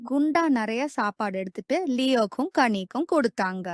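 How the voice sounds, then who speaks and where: Female narrator for kids' cartoon tales: scripted storytelling, raised pitch, dry studio-like sound. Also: lively intonation, normal speed, dry recording.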